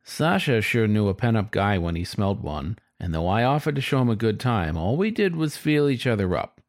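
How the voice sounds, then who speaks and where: A man's voice: lowish voice, very animated, brisk, no room sound, studio quality. A man voicing a cheeky, lustful young male fox who tells his own story in a chatty, wry, confiding way, as in an audiobook. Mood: playful, confiding. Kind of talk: first-person audiobook storytelling.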